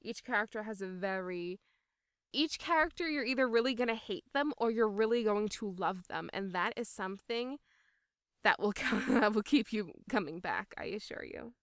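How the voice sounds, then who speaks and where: A woman's voice: medium pitch, expressive, normal speed, clear, resonant tone, dry recording, studio quality. A young woman chatting casually as an interview guest, talking in an enthusiastic, emphatic way. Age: young adult. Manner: enthusiastic, emphatic, laughing as she talks. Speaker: voice actor interviewee.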